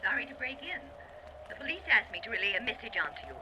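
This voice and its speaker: An actress playing a nosy, wisecracking young telephone operator in a 1940s radio drama. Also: female voice, fairly high pitch, sing-song, fast. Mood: concerned. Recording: muffled noisy old radio recording.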